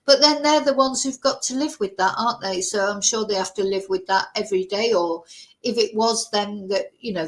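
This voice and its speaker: A woman hosts a true crime livestream in a relaxed, chatty way. She reads from an article, then drifts into asides and corrects herself as she goes. Her voice sits at an ordinary middle pitch. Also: rough recording. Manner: chatty, breaks off the reading for asides and self-corrections. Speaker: true crime stream host. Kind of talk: livestream chat, reading a news article aloud. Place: livestream at home.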